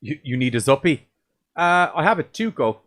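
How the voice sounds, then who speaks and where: A man's voice: animated, moderate pace, a bit bright. A man, co-hosting a casual movie review podcast, rambling and swearing as he goes. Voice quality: deep voice. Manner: sweary, rambling, restarts often. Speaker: podcast co-host.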